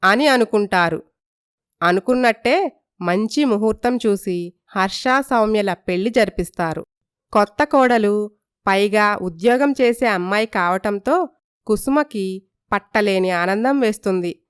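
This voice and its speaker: A woman storyteller reads a scripted cartoon moral story. Her voice is smooth and clear, at a middle pitch, in a dry space. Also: measured pace, no echo.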